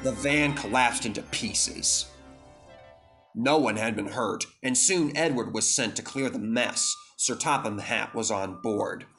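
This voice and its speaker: A man's voice: medium pitch, a little slow, fairly bright tone, faint music behind. A man reading a scripted children's story as the narrator.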